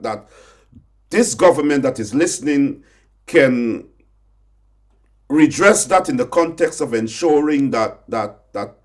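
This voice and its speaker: Guyanese guy interviewing someone, keeps repeating words, lots of restarts. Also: medium pitch. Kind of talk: conversational interview.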